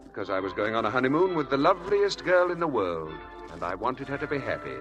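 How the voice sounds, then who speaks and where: An actor playing a charming, feckless young husband in a 1940s radio drama, flippant, glib and coaxing. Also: male voice, medium pitch, very animated, quick pace, music in the background, close to an everyday voice. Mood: breezy, coaxing. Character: charming, feckless spendthrift husband, breezy and evasive. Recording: hissy old radio broadcast.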